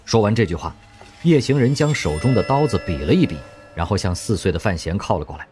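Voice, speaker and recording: A man narrating an audio drama with expressive storytelling. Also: fairly low pitch, fast. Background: faint music.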